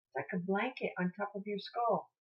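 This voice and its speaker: A woman teaching guided meditation in a soothing, encouraging manner, gently repeating her phrases. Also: medium pitch, moderate pace. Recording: muffled, dull lo-fi sound.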